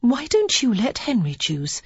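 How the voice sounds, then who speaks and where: A woman's voice: fairly high pitch, huge pitch swings, measured pace, a little mellow, breathy edge, no echo, highs cut off, pitched above the performer's own voice, heavily acted. A woman reading the part of a witty, confident young woman who teaches card tricks, in a teasing, playful way.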